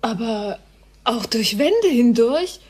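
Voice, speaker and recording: A woman's voice: on the high side, expressive, slow, a little mellow, husky, no room sound, full character voice. An actress playing an impatient, headstrong young woman in a radio drama, blunt and colloquial. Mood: insistent. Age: young adult.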